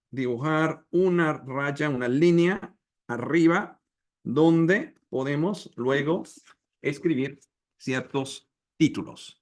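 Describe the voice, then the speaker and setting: A man whose first language is English, leading a Bible study in slow, halting Spanish with pauses and restarts over a video call. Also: on the high side. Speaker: preacher.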